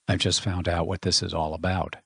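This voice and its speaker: A man's voice: sits low, level tone, brisk, creaky, pushed and bright, slightly rough, no echo, studio quality, heavily acted. A man voicing a worried young engineer who talks urgently and uneasily. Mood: urgent, uneasy.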